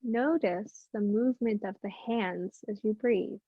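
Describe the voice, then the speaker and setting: A woman teaching meditation, speaking gently and unhurriedly with long pauses in soothing guided instruction. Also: medium pitch, slightly rough, no echo, narrow, tinny audio.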